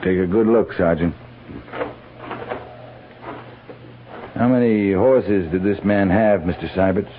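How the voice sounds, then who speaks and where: A man's voice: deep voice, normal speed, close to an everyday voice. Stern cavalry captain on scratchy old radio male actor. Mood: probing. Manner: clipped, authoritative, gruff. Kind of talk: 1950s radio western acting. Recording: scratchy, narrow-band old radio recording.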